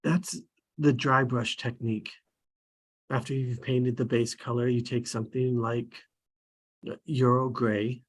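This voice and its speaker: A man with a husky, mid-pitched voice talks casually on a video call. He explains things step by step in a relaxed, unhurried way. He is taking part in a script table read. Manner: casual, explaining in plain terms.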